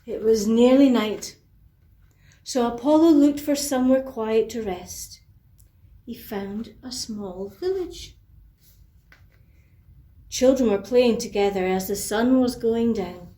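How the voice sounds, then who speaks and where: A woman narrating a children's bedtime story in an expressive, deliberate way, with asides to young listeners. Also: fairly high pitch. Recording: echoey, reverberant room.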